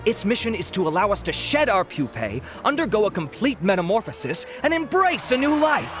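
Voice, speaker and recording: A suave, persuasive researcher-salesman with lively intonation; game music under. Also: high-pitched, a little fast, exaggerated voice. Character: smooth-talking charming male researcher pitching a lotion. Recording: game audio with music behind.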